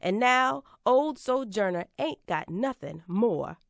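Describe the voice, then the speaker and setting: A woman's voice: fairly high pitch, animated, slow, creaky, no room sound, studio quality, exaggerated voice. A woman performing a fierce aging orator. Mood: defiant. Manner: folksy rhetorical questions, rising to emphatic refrains. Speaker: reader performing a historical speech. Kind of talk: dramatic recitation of an 1851 speech.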